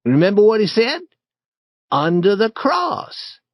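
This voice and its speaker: A man voicing a bold, eager boy who leads an adventure, in a raised, boyish pitch with lively storybook acting. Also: slow, dry recording, exaggerated voice. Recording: dry, slightly dull recording.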